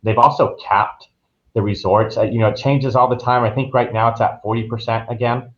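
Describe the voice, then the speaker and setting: A man's voice: medium pitch, fairly even intonation, fairly quick, muffled recording. A man answering interview questions as a travel professional, explaining things in an informal, conversational way. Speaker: guest travel professional reviewing a resort.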